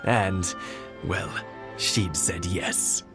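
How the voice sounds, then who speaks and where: An actor playing a gentle elderly husband in a video game, talking in a halting, reflective, good-humored way. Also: male voice, low-pitched, steady tone, full character voice. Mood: fond, wistful. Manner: slow, halting, reflective storytelling. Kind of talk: English video game voice acting. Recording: game audio with background music. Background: game music.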